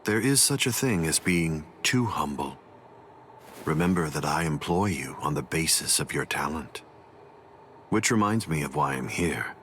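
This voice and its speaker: An actor playing a calm, commanding overlord in an anime game, formal, composed and smoothly condescending. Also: male voice, very deep voice, a little hiss or hum, full character voice. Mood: calm authority. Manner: composed, formal, unhurried authority. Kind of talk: anime video game voice acting. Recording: music behind. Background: background music.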